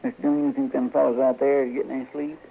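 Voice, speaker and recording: A gravelly country sidekick, acted by a man with a rural American drawl, simple and loyal. He comes through thin and tinny, as on an old western radio show with faint noise. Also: medium pitch, level tone, rapid-fire, natural voice. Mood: uneasy, deferential. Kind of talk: old-time western radio drama acting.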